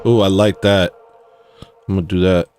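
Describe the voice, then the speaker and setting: Rough-voiced man with a low voice. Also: flat delivery, normal speed, over loud music. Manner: rambling, self-deprecating, with restarts. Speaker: streamer. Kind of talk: casual chat while making music on stream.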